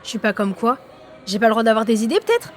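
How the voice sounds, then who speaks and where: A woman's voice: fairly high pitch, sing-song, fast talker, faint music behind. An actress playing a defensive, hot-headed teenage girl in an audio drama, speaking in accusing slang. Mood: indignant.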